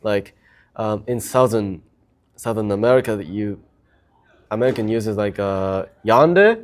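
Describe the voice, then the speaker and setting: A man's voice: lowish voice, lively intonation, a little slow. A man from Japan, a native Japanese speaker with a Japanese accent, chatting casually and enthusiastically as a guest. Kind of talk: casual chat.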